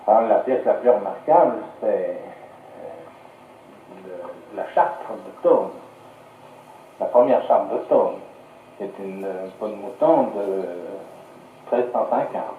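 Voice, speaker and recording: A man answering a radio interview as a curator, halting and searching for words, on a 1950s archive recording. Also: on the low side, animated, echoey room, far from the mic, phone-quality sound, a little hiss or hum. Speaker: museum curator being interviewed.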